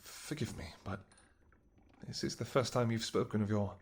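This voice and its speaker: A man playing a devoted vampire king in an intimate audio roleplay, speaking earnestly and gently in halting, formal, old-fashioned words. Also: lowish voice, steady tone, slight background noise, lightly acted. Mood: worried, pleading. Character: devoted vampire king, tender, anxious, apologetic husband.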